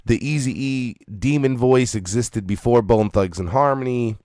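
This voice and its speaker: A man's voice: medium pitch, unhurried, darkish tone, no echo. Guy cohosting a casual live stream, opinionated, swears a lot, repeats himself for emphasis, mid voice, dry sound. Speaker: podcast co-host discussing hip-hop.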